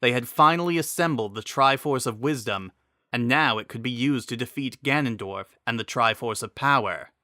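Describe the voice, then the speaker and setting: A man giving an expressive, emphatic dramatic narration of a scripted story. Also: medium pitch, normal speed, no room sound, studio quality.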